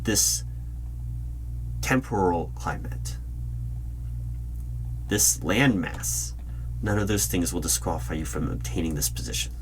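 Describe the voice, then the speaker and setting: A man speaking in an ASMR roleplay as a secretive, official hiring manager, asking formal questions in a measured, deliberate way with pauses. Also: low-pitched. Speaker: roleplay video creator playing an interviewer. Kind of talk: ASMR roleplay, spoken rather than whispered. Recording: steady hum and keyboard typing noise. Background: computer keyboard typing.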